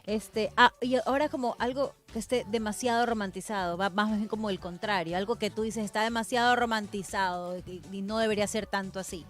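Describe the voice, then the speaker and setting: A woman's voice: mid-range voice, fairly even intonation, a little fast, hardly any pauses, soft background music. A woman co-hosting a casual chat show, repeating words for emphasis. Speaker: co-host.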